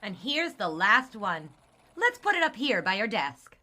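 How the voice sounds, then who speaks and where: An actress playing a confident newspaper woman, crisply reciting sports history in a scripted audio drama spot. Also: mid-range voice, big pitch swings, measured pace, very noisy. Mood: confident, keen.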